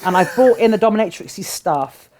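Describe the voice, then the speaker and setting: A woman's voice: mid-range voice, expressive, fairly quick, darkish tone. A middle-aged woman, a cabaret performer, talking frankly and animatedly as a guest in a small studio interview. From Britain. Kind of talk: candid interview chat. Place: small studio set, seated on sofa.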